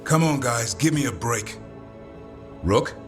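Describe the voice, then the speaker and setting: A man's voice: mid-range voice, animated, slow pace. An actor playing an exasperated, wry man in a video game, dry and put-upon in manner. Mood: wry exasperation. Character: exasperated, wry male companion wrangling noisy animals. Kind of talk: video game character acting. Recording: loud music behind.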